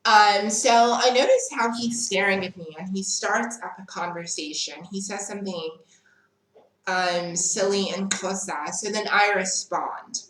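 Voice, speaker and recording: A woman's voice: mid-range voice, unhurried, a bit bright, a little hiss or hum. A young South African woman telling a story in a casual vlog, chatty, frank and unbothered. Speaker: vlogger. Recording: echoey room.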